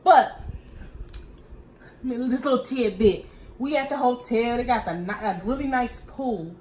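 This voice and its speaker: Echoey, high-voiced woman in casual chat. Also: measured pace, a little hiss or hum. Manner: informal, slangy, expressive. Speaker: vlog co-host, trucker's wife.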